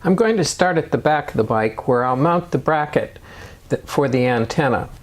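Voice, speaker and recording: A man narrating step-by-step DIY instructions in a measured, matter-of-fact way. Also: mid-range voice, expressive. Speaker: motorcycle DIY video host.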